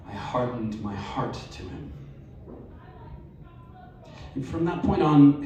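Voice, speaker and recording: A man reading a personal essay aloud at a live event, measured and expressive with wry emphasis. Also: mid-range voice, a little hiss or hum. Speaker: story reader. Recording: very echoey live room, distant mic.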